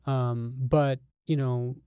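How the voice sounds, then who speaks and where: A man speaking as a reporter in an interview, explaining in a measured, careful way with hesitations. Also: medium pitch, flat delivery, smooth, no room sound, muffled recording. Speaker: newspaper reporter as interview guest.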